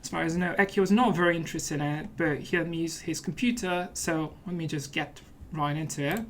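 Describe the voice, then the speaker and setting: A man's voice: on the high side, fairly even intonation, fairly quick, slight background noise. A man hosting a let's play, giving casual commentary in a playful, mock-serious manner.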